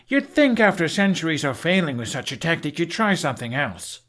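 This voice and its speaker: A sarcastic young Viking man performed by a male storyteller talks in a raised, animated voice. He is defiant and quick to snap, in audiobook dialogue. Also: fairly high pitch, voice pushed up. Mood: scornful. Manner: sneering, snappy, disdainful.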